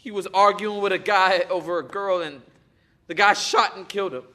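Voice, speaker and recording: An actor playing a troubled, self-loathing young man who speaks haltingly and emotionally in a stage play. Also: high-pitched, pushed and bright. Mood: subdued. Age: young adult.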